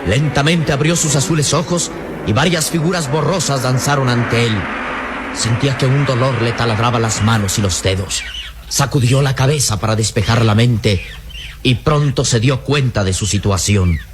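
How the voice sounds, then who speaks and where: A man's voice: mid-range voice, normal speed, background noise. A man narrating a radio drama in a dramatic scripted style.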